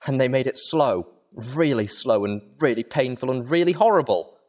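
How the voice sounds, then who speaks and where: A man's voice: medium pitch, expressive, moderate pace, darkish tone, voice pushed up, lightly acted. A man voicing a small young female pony, an earnest and determined adventurer who speaks emphatically and pleadingly. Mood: anguished, emphatic.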